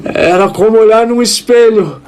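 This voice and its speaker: A woman voicing a devout small creature, telling its tale in solemn, reverent, dramatic narration with deliberate pauses. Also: fairly high pitch, a little mellow, a little hiss or hum, lightly acted. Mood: awed. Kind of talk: dramatic first-person scripted narration.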